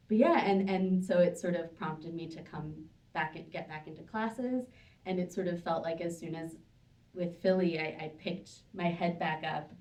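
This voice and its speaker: Woman, low-pitched, halting, many fillers, distant mic, echoey hall. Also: a little mellow. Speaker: panel guest. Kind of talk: casual live panel sharing. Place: synagogue hall, live audience.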